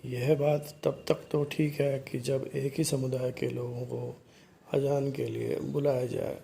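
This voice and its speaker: Slow-spoken, mellow man explaining over noise. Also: mid-range voice, level tone, vocal fry. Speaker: commentary video narrator. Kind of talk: explanatory social commentary addressed to viewers.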